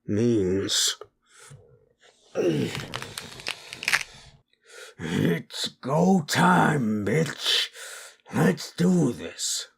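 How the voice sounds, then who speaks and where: A man voicing a brash werewolf bully boss in an audio roleplay, gravelly, swaggering and blunt, spoiling for a fight. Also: medium pitch, sing-song, very slow, a little hiss or hum, voice pushed up, exaggerated voice. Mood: fierce.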